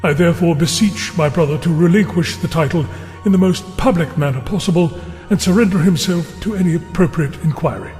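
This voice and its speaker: A fairly high-voiced man acting as a deferential royal herald. He declaims formally and with measure, as in an audio drama, in a reverberant hall over music. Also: fairly quick, darkish tone, natural voice. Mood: formal proclamation.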